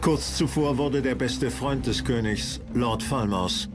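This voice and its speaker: A man's voice: mid-range voice, slow pace, music in the background. A man reading scripted narration as the narrator of a history documentary.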